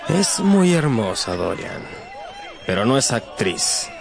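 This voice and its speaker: A dismissive gentleman in a radio play. He speaks slowly in a low, gravelly voice. A noisy crowd is behind him. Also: big pitch swings, exaggerated voice. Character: urbane, dismissive gentleman urging a friend to leave. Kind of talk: radio drama acting. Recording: theatre crowd noise behind.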